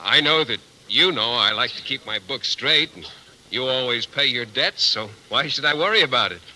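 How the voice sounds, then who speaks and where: A man's voice: medium pitch, animated, moderate pace, pushed and bright. An actor playing a naive, penny-pinching male biology teacher in a 1950s radio sitcom, earnest and a little hesitant. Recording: lightly crackly old radio recording.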